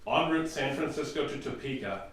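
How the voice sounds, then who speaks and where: Male officer, acted for radio drama: matter-of-fact, clipped, mid register, boomy echo, off-mic. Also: animated, moderate pace, a bit bright, close to an everyday voice. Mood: formal. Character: formal military officer reporting in, matter-of-fact. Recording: very echoey room, distant from mic.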